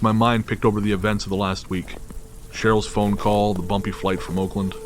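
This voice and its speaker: A man narrating a scripted first-person horror story in a measured, literary storytelling manner. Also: lowish voice, background noise.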